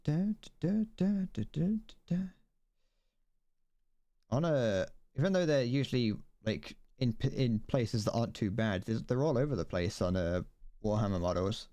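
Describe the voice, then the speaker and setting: A man's voice: medium pitch, lively intonation, normal speed, warm tone, no echo. A young man streaming as he builds a model kit, chatting casually, rambling, correcting himself and stumbling over his words.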